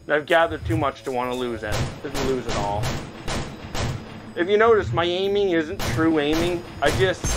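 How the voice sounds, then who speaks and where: A man hosting a gaming video, chatting casually to viewers as he plays a VR game. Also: on the high side. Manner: chatty, talking to viewers while playing. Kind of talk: casual VR gameplay commentary. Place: indoors, playing in a VR headset. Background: game music.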